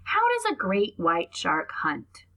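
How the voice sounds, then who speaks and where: Husky woman reading aloud to children. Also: medium pitch, huge pitch swings, slow, highs cut off. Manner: explanatory, addressing young children. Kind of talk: children's read-aloud with asides.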